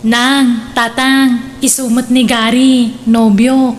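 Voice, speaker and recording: A woman's voice: fairly high pitch, level tone, slow pace. A woman acting a sweet, pleading young daughter in a radio drama, speaking with an Ilocano accent. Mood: shy.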